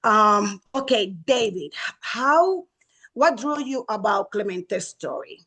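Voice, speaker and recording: A woman's voice: medium pitch, expressive, slow pace, sharp tone. Effusive Puerto Rican woman interviewer. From Puerto Rico. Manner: effusive, asks long rambling questions.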